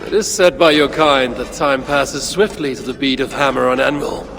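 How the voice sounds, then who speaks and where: A man's voice: medium pitch, moderate pace, gravelly, fairly bright tone, faint music behind. A man playing a stern, proud Norse god and father in a video game, speaking formally and tersely. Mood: curious, measured. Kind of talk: video game cutscene acting.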